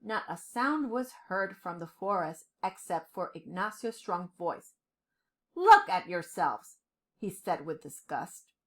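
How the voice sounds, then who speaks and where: A woman reading a children's storybook aloud with dramatic, deliberate phrasing, as a storyteller. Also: lowish voice, lively intonation, fairly bright tone. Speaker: storyteller, professor.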